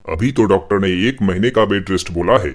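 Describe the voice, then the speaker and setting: A man playing an older father-in-law, dryly teasing and mocking, in an animated family drama. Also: low voice, a little fast, natural voice. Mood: stern.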